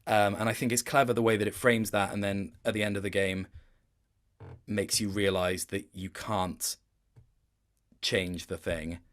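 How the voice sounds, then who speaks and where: A man's voice: on the low side, level tone, measured pace, long pauses, a bit bright, faint music behind. Thoughtful man chatting as interview guest. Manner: thoughtful, searching for words, self-interrupting. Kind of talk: casual, reflective interview chat.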